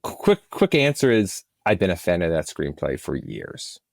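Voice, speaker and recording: Male producer as interview guest, deep voice, dry close sound, conversational and explanatory. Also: wildly swooping pitch, normal speed, gravelly, no room sound. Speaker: interview guest, film and audio drama producer.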